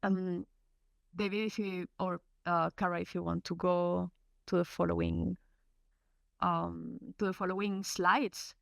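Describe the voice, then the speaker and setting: English speaker from Colombia, female, webinar presenter; gravelly, halting delivery, dry close mic. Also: on the low side, little pitch movement, no echo. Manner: hesitant, many fillers and restarts. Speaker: research program presenter. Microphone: video call.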